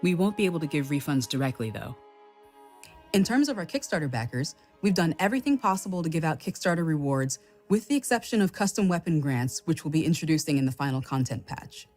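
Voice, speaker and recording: A woman delivering a scripted company announcement as a spokeswoman, in a formal, measured way. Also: sits low, big pitch swings, darkish tone, soft background music. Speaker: game studio spokeswoman.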